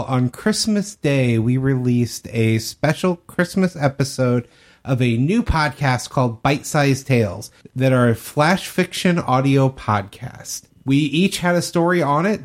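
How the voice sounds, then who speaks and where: Man with a mid-pitched, conversational voice. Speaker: podcast co-host. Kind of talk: casual podcast talk.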